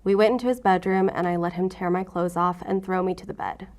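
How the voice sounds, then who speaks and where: A woman's voice: on the low side, fast, a little mellow, natural voice. An actress playing a wounded, guarded female FBI agent who talks with sardonic defiance in an audio drama. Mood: bleak, pained. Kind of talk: audio drama acting, confessional.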